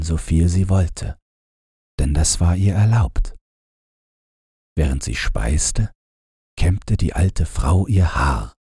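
A man reads a bedtime fairy tale in a very deep voice with a heavily husky grain. His delivery is slow and calm, like an audiobook made for falling asleep, and the tone is mellow. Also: dry recording, clean studio sound. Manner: calm, unhurried storytelling. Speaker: audiobook narrator.

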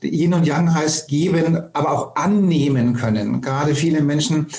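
A man's voice: on the high side, moderate pace, a little mellow, echoey room. A man explaining spiritual ideas earnestly in conversation, often restarting his sentences. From Germany. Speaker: co-host.

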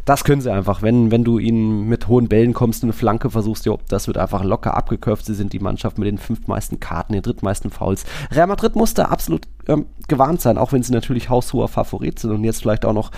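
Male football podcast host, relaxed warm tone, colloquial analysis, close dry recording. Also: fairly low pitch, fast talker, gravelly, hardly any pauses, dry recording. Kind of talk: casual football analysis and preview.

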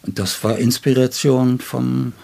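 A man's voice: mid-range voice, level tone, measured pace, gravelly, darkish tone. An older man from northern Germany, a writer in an interview, telling stories reflectively in ornate wording with frequent little question tags. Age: 60s or older. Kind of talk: reflective conversational storytelling. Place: indoors at his home.

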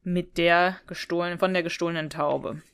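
A woman's voice: on the low side, fairly even intonation, normal speed, fairly bright tone, smooth. A woman co-hosting a podcast, chatting casually in an amused, lightly teasing way. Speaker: podcast co-host. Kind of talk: casual chat, recounting anecdotes.

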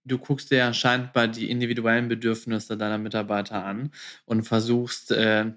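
A man's voice: on the low side, level tone, normal speed, a bit bright. A man hosting a podcast, interviewing in a casual, colloquial way with English phrases mixed in. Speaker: podcast host.

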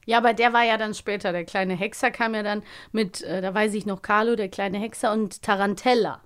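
A woman co-hosting a podcast, chatting casually and retelling things in an animated way. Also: medium pitch, fairly quick, smooth. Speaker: podcast co-host. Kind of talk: casual chat about children's audio plays.

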